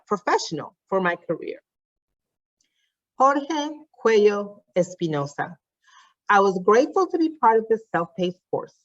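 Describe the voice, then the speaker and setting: A woman with a mid-pitched voice delivers a formal scripted ceremony narration as an announcer. She reads deliberately and enunciates each phrase. The sound is dry and close, with a slightly dull, lo-fi finish. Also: no echo. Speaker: announcer reading out graduates' names and messages.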